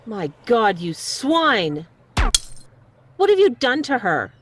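A woman's voice: a little high, huge pitch swings, slow pace, husky, heavily acted. A woman playing a fierce, cool-headed rescuer in a radio mystery play. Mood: outraged.

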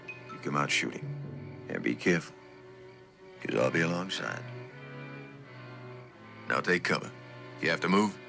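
A man's voice: deep voice, vocal fry, pushed and bright, exaggerated voice. An actor playing a terse, world-weary tough lawman with a clipped, laconic delivery in an old screen drama. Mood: commanding, low. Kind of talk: understated mid-century screen acting.

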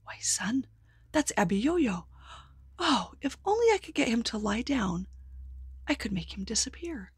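A woman voicing a magician father who speaks in a low, half-whispered, plotting way. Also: big pitch swings, unhurried, long pauses, breathy edge, no room sound, exaggerated voice. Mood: scheming. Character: calm scheming magician father.

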